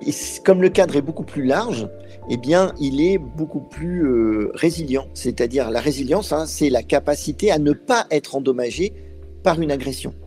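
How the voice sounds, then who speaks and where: A man's voice: a little high, animated, normal speed, dark tone, faint music behind. A man speaking as an expert in an interview, explaining step by step with frequent hesitations and fillers. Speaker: expert guest.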